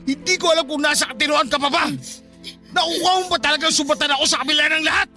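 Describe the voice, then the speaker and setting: An actor playing a grieving, furious father who shouts and sobs accusations at his wife. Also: very high-pitched, steady tone, fast, soft background music, heavily acted. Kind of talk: audio drama acting.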